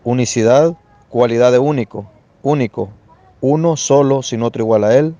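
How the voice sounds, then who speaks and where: A Salvadoran man introducing himself in a religious debate, declaiming like a preacher in deliberate phrases. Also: mid-range voice, mellow, no room sound. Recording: dull, lo-fi voice audio.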